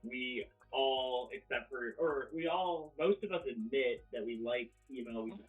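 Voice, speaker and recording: A man's voice: medium pitch, a little slow. Brief: male band member, hesitant, phone-like lo-fi audio, faint music. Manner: hesitant, lots of restarts. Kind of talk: casual interview chat.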